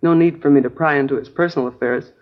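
A woman's voice: sits low, quick pace, natural voice. An actress playing a chatty, naive American girlfriend in a 1950s radio crime drama, casual, rambling and a little vague. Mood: puzzled, open. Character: chatty, naive, easygoing working-class girlfriend of a crook. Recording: dull old radio broadcast recording.

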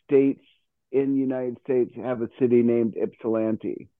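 A man's voice: medium pitch, steady tone, normal speed, no room sound. A man chatting casually in a conversational, chuckling manner, like a radio show host. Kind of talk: casual chat. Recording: narrow phone-like radio line.

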